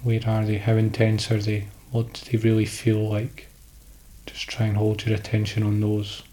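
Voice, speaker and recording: A man guiding a sleep meditation in calm, instructional short phrases with pauses between them. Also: lowish voice. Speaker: meditation guide.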